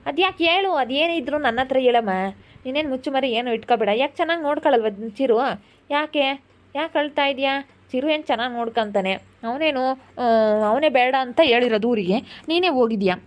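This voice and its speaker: A woman's voice: sits high, quick pace, close to an everyday voice. A woman playing a caring, reassuring husband and brother-in-law in an amateur family drama, speaking in a soothing, coaxing, colloquial way. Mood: concerned, coaxing.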